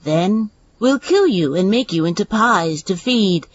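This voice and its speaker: Woman voicing a husky, creepy little boy. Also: medium pitch, lively intonation, muffled recording. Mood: ominous, commanding. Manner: ominous, slow pronouncements with bratty snaps. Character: creepy, bossy little boy cult leader. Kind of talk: comedic audio drama acting.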